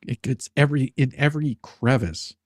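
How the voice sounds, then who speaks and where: A man's voice: mid-range voice, moderate pace, no echo, studio quality. A man hosting a live stream in casual, joking chat with a teasing, sarcastic manner.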